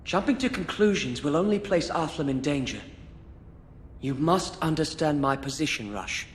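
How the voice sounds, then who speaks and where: Young game nobleman, played by a man: formal, composed, fairly high male voice over faint rumble. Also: animated, close to an everyday voice. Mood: grave, firm. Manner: formal, measured. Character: composed young noble lord, earnest and diplomatic. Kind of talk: video game cutscene acting.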